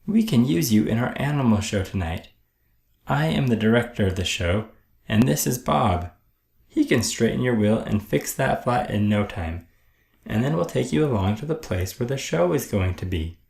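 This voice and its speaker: A man voicing a friendly, brisk animal show director from a children's storybook, breezy and commanding. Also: medium pitch, expressive, gravelly, darkish tone, reverberant. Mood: jovial, inviting.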